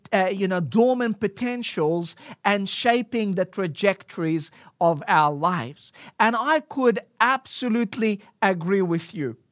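A man's voice: sits high, a bit bright, no echo. Hesitant man telling a story, dry sound. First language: a language other than English. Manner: hesitant, many fillers and restarts. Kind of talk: casual personal storytelling.

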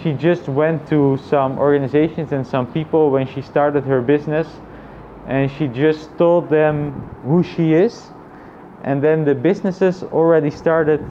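A man thinking aloud in a casual, reflective personal vlog, with halting phrasing and frequent repetition. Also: on the high side, fairly even intonation, dull audio, a little hiss or hum. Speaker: vlogger.

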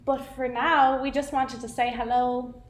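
High-voiced Irish woman, giggly. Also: level tone, normal speed, a little hiss or hum. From Ireland. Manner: giggly, rambling, quotes people animatedly. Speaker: podcast co-host. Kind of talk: casual chat. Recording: roomy echo.

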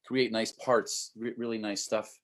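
A man's voice: on the low side, little pitch movement, unhurried. A man hosting a casual livestream interview, keen and chatty, often repeating his words and calling his guest man. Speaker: livestream host.

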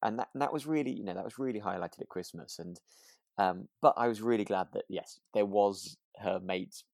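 British male co-host, deep with vocal fry, relaxed conversational podcast chat. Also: a little fast, no room sound, clean studio sound. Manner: informal, conversational. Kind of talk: casual chat discussing a radio drama.

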